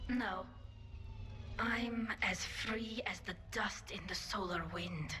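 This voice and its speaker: An actress voicing an eager young female alien engineer, her voice slightly distorted by a mask, talking like an excited fan. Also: medium pitch, takes it slowly, faint music behind. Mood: teasing. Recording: game score behind voice.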